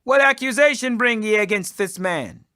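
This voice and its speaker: Guy doing a stern roman-era governor grilling a prisoner, high sharp voice, challenging. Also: moderate pace, clean tone.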